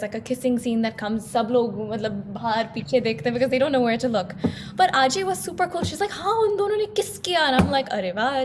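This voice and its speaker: A woman's voice: fairly high pitch, a little hiss or hum. A woman in her twenties with an American accent, a guest singer chatting on a talk show and slipping between English and Hindi. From United States. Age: 20s. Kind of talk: casual talk show conversation.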